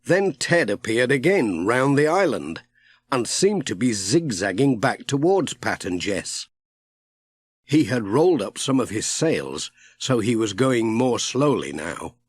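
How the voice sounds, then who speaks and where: Guy reading a kids story, slow and expressive, lots of up and down, dry sound. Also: mid-range voice, husky, no echo. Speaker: audiobook narrator. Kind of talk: scripted children's story narration.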